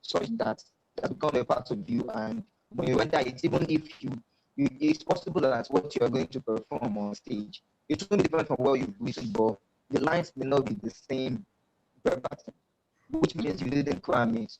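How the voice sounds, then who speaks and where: A man with a Nigerian accent talks through his ideas on a video-call panel. His voice is gravelly, and a faint hiss sits under it. He explains things steadily, full of fillers and restarts. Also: medium pitch, sing-song, normal speed. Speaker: panel guest, poet. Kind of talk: panel discussion talk.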